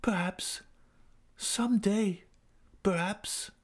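A man voicing a despairing young woman singer, speaking softly and pleadingly in a raised voice. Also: high voice, very slow, voice pushed up, heavily acted. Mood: weary.

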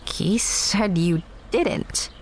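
A woman's voice: mid-range voice, animated, drawn-out words. An actress playing a sarcastic, guarded young woman who calls herself a theater girl, in an audio drama. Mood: wry. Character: wry young theater girl, new girlfriend.

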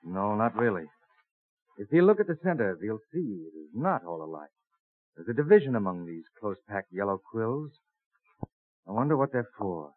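Through a tinny old radio recording comes a young colonial farmer, earnest and full of curiosity. His pitch swings widely as he speaks his old-fashioned plain speech in a dramatic radio style. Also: sing-song, normal speed. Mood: wondering, earnest.

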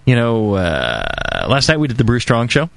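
A man's voice: medium pitch, wildly swooping pitch, moderate pace, vocal fry, no room sound. A tired Californian man co-hosting a homebrewing radio show, rambling with many fillers and restarts. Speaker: podcast co-host. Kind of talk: casual radio chat.

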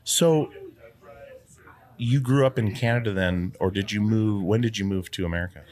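A man hosting a podcast from his basement, talking in a relaxed, rambling way with lots of fillers. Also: fairly low pitch, moderate pace. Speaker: podcast host. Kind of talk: casual interview chat. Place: old house basement.

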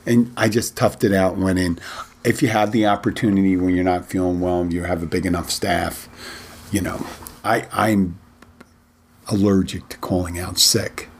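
A middle-aged man hosting his bartending podcast in a casual, rambling way, his voice a little rough from a cold he is getting over. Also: low voice, darkish tone, slight background noise. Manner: rambling, halting, many restarts. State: recovering from a head cold, slightly rough voice. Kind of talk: casual solo podcast talk.

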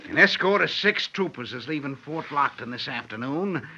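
An actor playing a rough army scout who informs for outlaws, reporting plainly in a western radio drama. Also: male voice, on the high side, expressive, moderate pace, bright, forceful tone, slight background noise, exaggerated voice. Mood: urgent, informing. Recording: dull vintage radio recording.

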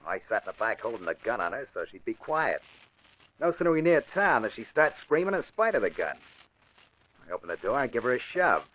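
A man's voice: mid-range voice, very animated, fairly quick. An actor playing a crude, blunt gangster henchman in old-time radio crime drama style. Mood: matter-of-fact. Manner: blunt, ungrammatical tough-guy talk. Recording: dull vintage radio recording.

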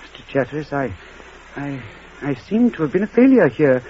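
Fussy, animated English schoolmaster, old radio. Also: male voice, fairly high pitch, moderate pace. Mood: crestfallen. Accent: British. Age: middle-aged. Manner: hesitant, stammering, formal. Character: shy, fussy, disappointed middle-aged English schoolmaster. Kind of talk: old radio drama acting. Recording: dull, faintly noisy vintage radio recording.

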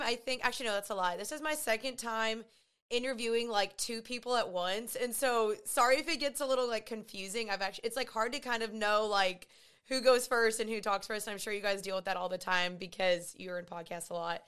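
Woman host, raspy, higher register, smooth and clear underneath, chatty and gushing. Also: fairly quick, a bit bright. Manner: chatty, gushing, many fillers. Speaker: podcast host. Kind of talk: casual podcast chat.

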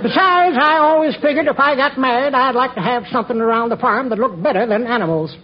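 An actor playing a stubborn old bachelor hog farmer in a radio comedy, with a laconic, folksy rural American drawl. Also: male voice, very high voice, fast talker. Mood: stubborn, deadpan. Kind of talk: 1940s radio comedy acting. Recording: noisy muffled old radio recording.

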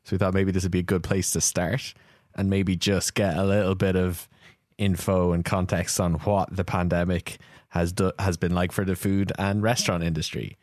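Man with a really deep voice, podcast host, dry close mic. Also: flat delivery, moderate pace, a little mellow, dry recording. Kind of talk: casual podcast chat.

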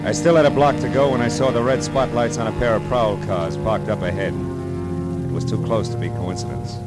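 An actor playing a terse, wry private detective in a vintage radio noir drama, dry and wisecracking. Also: male voice, deep voice, lightly acted. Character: wry, hard-boiled male private detective narrating his case. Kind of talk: 1940s-50s radio noir acting and first-person narration. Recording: old radio recording with music.

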